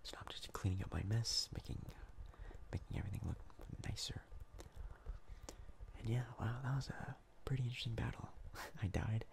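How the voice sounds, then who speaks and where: A young man whispers his way through casual gameplay commentary in an ASMR style. His voice is low and heavily crackled with fry, breathy and soft, and he rambles along hesitantly. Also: normal speed. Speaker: ASMR gaming YouTuber.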